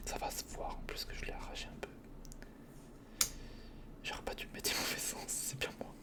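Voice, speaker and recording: A person whispering informal ASMR commentary in short step-by-step remarks while building, speaking directly to the viewer. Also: fairly quick. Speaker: ASMR video creator and host.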